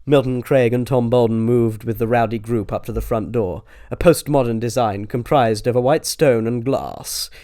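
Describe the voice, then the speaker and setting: A man's voice: mid-range voice, moderate pace, hardly any pauses, dark tone. A man reading a scripted comic audiobook as narrator.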